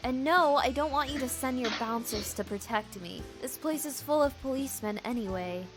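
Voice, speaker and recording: A woman's voice: high voice, normal speed, over music, natural voice. A woman voicing a determined, independent young hospital worker in a visual novel game, earnest and firm. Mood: weary, firm.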